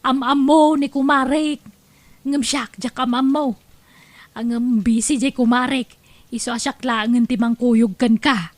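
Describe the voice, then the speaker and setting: A woman's voice: high voice, fairly even intonation, unhurried. A woman playing a chatty, obliging female friend in an Ilocano-accented radio comedy drama. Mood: chatty, helpful.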